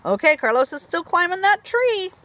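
Very lively high-voiced woman reading to children. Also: moderate pace. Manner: animated, expressive storytelling for young children. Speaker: preschool teacher. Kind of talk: children's picture book read-aloud with asides.

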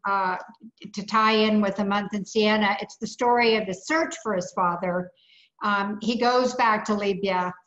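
A woman sharing a long book recommendation on a video call, halting and full of fillers. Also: mid-range voice, a bit bright. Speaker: audience member commenting. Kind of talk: casual comment on a video call. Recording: echoey room over dull lo-fi call.

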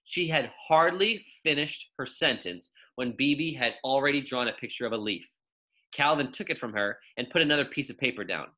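A young man reading a children's story aloud as a teacher, with expressive, emphatic delivery and drawn-out key words. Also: mid-range voice, poor audio. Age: young adult.

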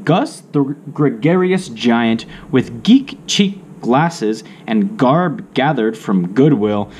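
Guy reading an alphabet kids book out loud, really slow, pitch moves around a lot, bit of noise behind him. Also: animated. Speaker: children's book read-aloud narrator. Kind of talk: slow read-aloud of an alphabet picture book. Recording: faint background noise.

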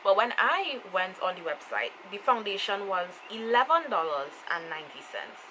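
A woman's voice: mid-range voice, very animated, normal speed, sharp tone. A young woman in her twenties with a Trinidadian accent, giving a casual makeup review to camera. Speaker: beauty vlogger. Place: small room indoors. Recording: clearly noisy home camera recording. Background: clear ambient noise.

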